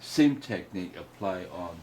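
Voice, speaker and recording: A man whose first language is Mandarin, teaching a painting workshop in a halting way with many restarts. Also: sits low, animated, dark tone. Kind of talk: hesitant workshop explanation. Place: indoor workshop room. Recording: distant mic with faint noise.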